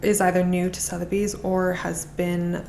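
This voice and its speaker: A woman's voice: on the low side, little pitch movement, normal speed, creaky, a little mellow, a little hiss or hum. A woman speaking as a guest on a podcast, talking eagerly and often restarting her phrases. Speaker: guest, art auction specialist. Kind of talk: casual conversation.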